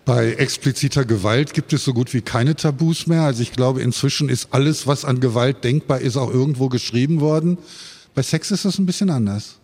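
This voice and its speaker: A man moderates a live discussion with a mid-pitched voice. He is measured and articulate, and his words ring in a large hall. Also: darkish tone. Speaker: panel moderator. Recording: reverberant hall at book fair.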